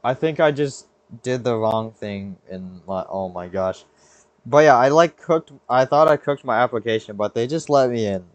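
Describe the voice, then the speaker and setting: A man's voice: medium pitch, normal speed, no echo, lo-fi sound. A young adult American man hosting a podcast in a casual, slangy, rambling way, often cutting himself off mid-thought. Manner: slangy, rambling, self-interrupting. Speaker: podcast host. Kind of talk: casual chat.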